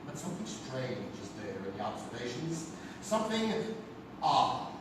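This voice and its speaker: An actor playing an ominous narrator of eerie legends, speaking in a measured, theatrical way in a staged drama. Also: male voice, mid-range voice, very animated, close to an everyday voice. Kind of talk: dramatic scripted narration of a spooky anthology tale. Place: theatre stage, live show. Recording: echoey theatre stage, distant mic, noisy.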